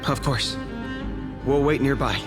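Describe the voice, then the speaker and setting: An actor playing an earnest, deferential young guard in a video game, polite and formal in speech. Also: male voice, mid-range voice, unhurried, over music, natural voice. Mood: polite, concerned. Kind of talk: scripted video game voice acting.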